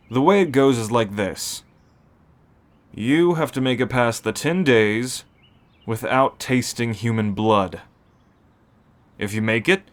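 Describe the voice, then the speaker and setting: A man playing a seasoned, calm werewolf who explains pack ways matter-of-factly in an ASMR roleplay. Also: medium pitch, close to an everyday voice.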